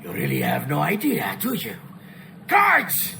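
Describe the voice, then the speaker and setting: An actor voicing a menacing, arrogant older villain in a video game, taunting in a grandiose, deliberate way. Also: male voice, fairly high pitch, huge pitch swings, creaky, slight background noise, heavily acted. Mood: scornful. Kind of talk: dramatic video game voice acting. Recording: loud music behind the voice.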